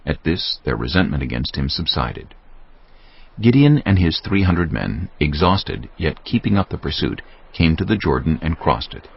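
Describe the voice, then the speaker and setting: A man's voice: rumbling low, big pitch swings, normal speed, creaky, breathy edge. A man narrating scripture from a script in a dramatic storytelling style. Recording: dull audio with music underneath. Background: music.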